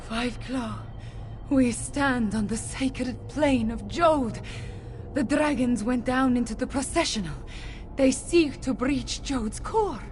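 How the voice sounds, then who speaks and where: A woman's voice: high voice, a little mellow, slight background noise. Solemn, determined female fantasy guardian. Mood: solemn, resolute. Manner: solemn, deliberate, declamatory. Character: grave, determined female guardian recounting ancient lore. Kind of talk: fantasy video game voice acting.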